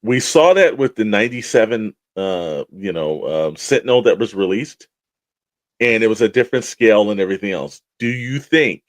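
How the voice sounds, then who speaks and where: A man with a slightly deep voice and a dry, close sound. He co-hosts a casual collector podcast and explains his points conversationally in long, run-on thoughts. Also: no echo. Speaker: podcast co-host. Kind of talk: casual toy-collector chat.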